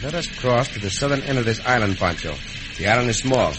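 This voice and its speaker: Man playing commanding Mexican adventurer, mid-pitched. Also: lively intonation, fast talker, music in the background, close to an everyday voice. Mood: firm, resolute. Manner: firm, formal, careful English. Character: brave, commanding Mexican adventurer hero in a western. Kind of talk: 1940s-1950s radio acting. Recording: noisy old radio drama recording.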